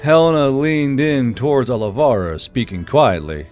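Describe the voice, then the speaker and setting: Casting: male narrator for fantasy audiobook, mid-range voice, scripted storytelling, gentle music bed. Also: medium pitch, moderate pace.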